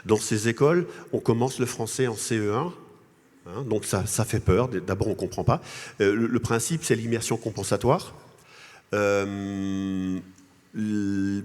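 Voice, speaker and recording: A man from Alsace, a native Alsatian speaker, making his case as a panel guest in a halting, repetitive way. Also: lowish voice, a little mellow. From Alsace, France. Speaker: guest speaker, language association president. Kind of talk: panel interview, advocating argument with anecdotes. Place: congress hall. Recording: echoey large hall.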